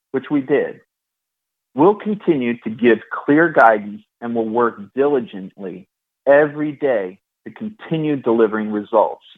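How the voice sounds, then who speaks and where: A man speaking as a chief executive, reading prepared corporate remarks in a formal, measured way over a phone. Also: mid-range voice. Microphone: phone call. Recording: thin, narrow phone line.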